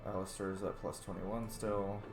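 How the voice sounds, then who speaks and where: A man's voice: low-pitched, flat delivery, normal speed. A man casually narrating his video game playthrough, rambling and thinking aloud as host. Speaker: host, gamer. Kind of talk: casual gaming commentary. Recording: roomy sound, faint game music.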